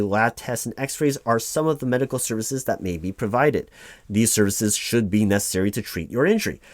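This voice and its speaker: A low-pitched, officious male company clerk, played by a man in an audio roleplay, recorded close and dry. He goes through official paperwork in a bureaucratic way, hedging and reassuring evasively. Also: dry recording, natural voice. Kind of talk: one-sided ASMR roleplay, reading paperwork aloud.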